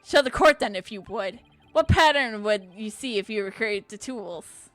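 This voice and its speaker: A woman reading the lines of a cool, haughty male prosecutor from a courtroom game, in a measured, cutting way. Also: medium pitch, expressive, fairly bright tone, soft background music, close to an everyday voice. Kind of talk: reading game dialogue aloud.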